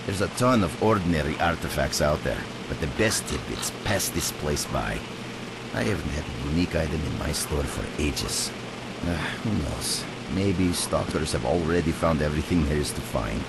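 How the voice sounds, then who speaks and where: Shady game trader: extremely deep male voice, folksy, shrewd and world-weary, heavy noise behind. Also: expressive, moderate pace, creaky, full character voice. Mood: weary, wistful. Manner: folksy, chatty, wry. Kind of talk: video game dialogue.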